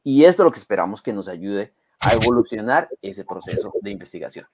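A man's voice: fairly low pitch, sing-song, brisk, darkish tone, slight background noise. A native Spanish-speaking man explaining things conversationally as an interview guest, emphatic and fond of rhetorical check questions. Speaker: interview guest, community health program advocate.

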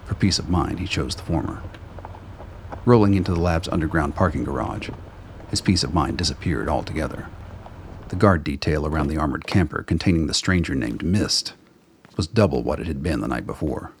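A man with a very deep, gravelly voice reading scripted dramatic narration for a radio play. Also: a little fast, slight background noise.

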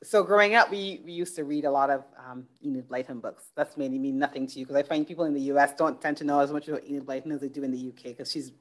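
A woman's voice: very deep voice, steady tone, fast talker, hardly any pauses. A middle-aged woman from Barbados hosting a podcast interview, chatting casually and thinking aloud with restarts. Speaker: podcast host.

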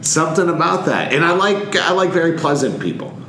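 Blunt, joking man, lively voice. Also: on the high side, brisk. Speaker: podcast co-host. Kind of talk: casual chat. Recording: roomy, faint noise.

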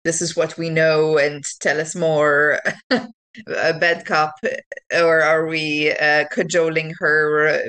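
A woman takes part in a tabletop role-playing game. Her voice sits a little low, and she talks casually, moving between planning and speaking as her character. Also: level tone, slow pace, fairly bright tone, clean tone.

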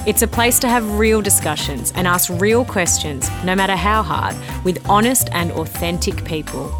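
A woman reading a scripted podcast introduction in a clear, earnest way. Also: lowish voice, lively intonation, normal speed. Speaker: podcast co-host. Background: music.

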